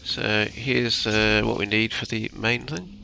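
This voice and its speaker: A man's voice: fairly low pitch, a little slow, gravelly, sharp tone, a little hiss or hum. A man giving casual gameplay commentary, muttering short offhand remarks to himself. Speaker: gamer, commentator.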